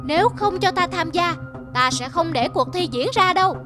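A proud, scornful cartoon eagle who boasts and threatens, as a woman puts him on. The voice sits very high for the part, and loud music plays underneath. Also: expressive, normal speed. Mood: haughty. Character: arrogant boastful big male eagle. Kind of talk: cartoon voice acting.

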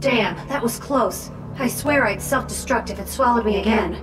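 A woman playing a chatty, cheeky robot drone sidekick in a video game, expressive and breezy in delivery. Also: a little high, moderate pace, lightly acted. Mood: rattled. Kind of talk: video game character acting. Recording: echoey, distant, over loud music.

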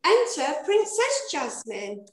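Slow, high-voiced older woman narrator. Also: huge pitch swings. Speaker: director and rhyming fairy narrator. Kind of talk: scripted rhyming pantomime narration. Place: plain room indoors, video call. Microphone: computer mic on video call. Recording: echoey room on video call.

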